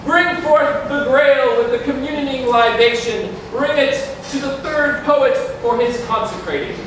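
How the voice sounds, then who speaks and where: A grand, solemn officiant, played by a man proclaims mystical wedding vows in a staged masque. The voice is high and slow, incantatory, and carries from a distance through a very echoey hall. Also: fairly bright tone. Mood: exalted. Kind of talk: staged reading of a poetic masque. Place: community hall, live staged reading. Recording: very echoey hall from far off, noisy.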